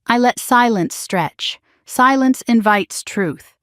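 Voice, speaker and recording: Calm woman narrating a dry-voiced story. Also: fairly high pitch, slow, no echo. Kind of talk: scripted first-person story narration.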